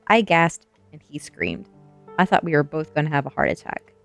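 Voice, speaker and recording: Low-voiced woman reading a story over music. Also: very animated, normal speed, creaky, fairly bright tone. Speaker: streamer reading aloud. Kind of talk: ASMR reading of a young adult novel, storytelling narration.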